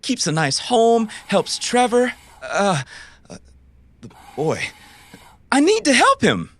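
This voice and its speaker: A man's voice: very high voice, huge pitch swings, slow, fairly bright tone, exaggerated voice. An actor playing a dazed, fragile mercenary father in an audio drama, deludedly happy, foggy and fervent. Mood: dazed, earnest.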